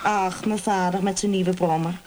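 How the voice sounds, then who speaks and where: An actress playing a brazen, chatty seductress with coy, bossy patter in a comic radio play. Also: female voice, fairly low pitch, fairly even intonation, moderate pace, heavy background noise, natural voice. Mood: brazen sales patter.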